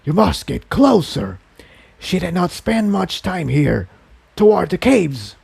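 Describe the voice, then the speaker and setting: A man's voice: high-pitched, big pitch swings, slow pace, vocal fry, darkish tone, breathy edge, no echo, voice pushed up, heavily acted. A man voicing a sinister, impatient entity that hisses commands inside a dragon's head in a story reading. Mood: angry, commanding. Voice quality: hissing, whispery.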